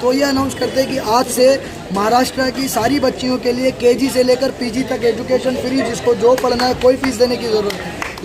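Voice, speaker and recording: A man giving an assertive, emphatic political pitch as a candidate in a campaign interview, often repeating his points. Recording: loud music, crowd and street traffic. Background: music, crowd, vehicles.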